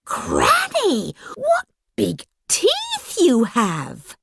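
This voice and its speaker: A woman's voice: high-pitched, huge pitch swings, extremely slow, creaky, a bit bright, a little hiss or hum, pitched above the performer's own voice, exaggerated voice. A woman voicing a sweet, trusting little fairy-tale girl with an innocent, eager manner. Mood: wondering.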